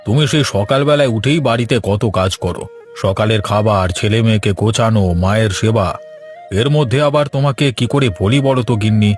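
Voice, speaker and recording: A man voicing a gentle, considerate village farmer husband in a Bengali cartoon. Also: lowish voice, a little fast, faint music behind, close to an everyday voice. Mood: affectionate.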